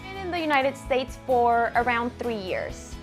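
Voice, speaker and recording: A woman from Mexico, an international student, speaks earnestly in short plain sentences. Her voice sits fairly high. Faint music plays behind her. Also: sing-song, measured pace. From Monterrey, Mexico.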